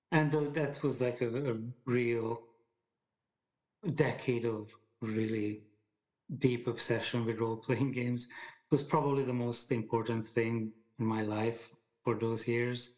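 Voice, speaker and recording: A man's voice: medium pitch, fairly even intonation, takes it slowly, pauses often, a little mellow. A man in his forties from Hungary, talking thoughtfully as a podcast guest, hesitating and restarting often. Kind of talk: casual conversation.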